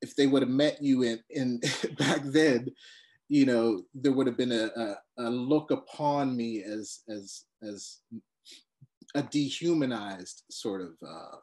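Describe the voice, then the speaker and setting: A man speaking as a panelist in a reflective discussion, halting and searching for words with many restarts. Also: medium pitch, a little mellow.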